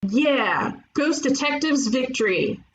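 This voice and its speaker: A woman playing a brash, cocky ghost detective in an animated comedy table read, with faint noise behind her. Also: sits high, slow, rough recording. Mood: triumphant.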